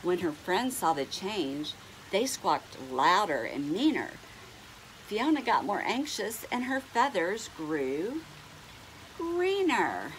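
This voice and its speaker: A middle-aged woman reading a picture book aloud to young children, expressively, drawing out words and pausing to ask them questions. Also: mid-range voice, big pitch swings, a little hiss or hum, poor audio. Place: indoors at home on a couch.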